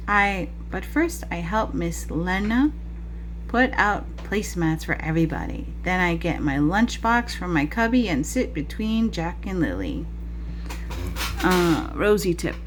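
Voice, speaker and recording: Woman reading to preschoolers, clear background noise. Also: mid-range voice. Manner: chatty, addresses children directly with questions and asides. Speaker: preschool teacher. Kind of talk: read-aloud of a picture book for young children.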